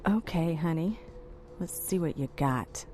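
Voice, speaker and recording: An actress in a video game playing a flirtatious, streetwise prostitute with a drawling come-on manner. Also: female voice, sits low, animated, measured pace, warm tone, a little hiss or hum. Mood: seductive.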